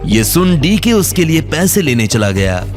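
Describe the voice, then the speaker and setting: A man's voice: fairly high pitch, very animated, moderate pace, over music. A man narrating a scripted audio drama story in a dramatic storytelling style.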